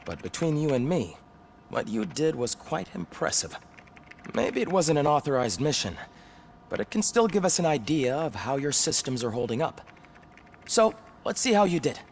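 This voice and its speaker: Chummy, animated lab technician. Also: male voice, fairly high pitch, moderate pace, vocal fry, slight background noise. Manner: familiar, conversational. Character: chummy male corporate cyborg-systems technician. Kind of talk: video game acting.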